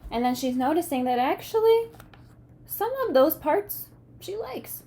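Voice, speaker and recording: A woman teacher reading a picture book aloud to children, expressively, pausing to ask questions and check understanding. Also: high-pitched, expressive, warm tone, slight background noise.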